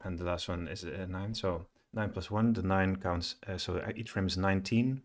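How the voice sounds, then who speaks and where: A middle-aged man with a low-pitched voice presents a programming screencast. He thinks aloud in a halting way, often muttering to himself as he works at his computer. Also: fairly even intonation. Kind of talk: thinking aloud while coding. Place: small room indoors at home, at a computer. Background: keyboard typing and clicking.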